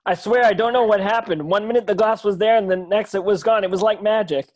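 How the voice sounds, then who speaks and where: A man's voice: sits high, steady tone, fast, a little mellow, close to an everyday voice. A man playing a meek, lonely, polite boy in an amateur screenplay table read. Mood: frightened protest.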